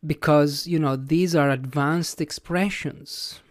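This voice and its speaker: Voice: medium pitch, steady tone, slow pace, no room sound, studio quality. An English teacher whose first language is Italian, speaking with an Italian accent in a plain-spoken, reflective podcast lesson, thinking aloud as they go.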